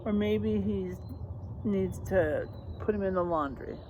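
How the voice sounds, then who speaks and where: A woman's voice: medium pitch, slow, highs cut off, background noise. A woman reading a storybook aloud for children as host, explaining simply and addressing young listeners directly.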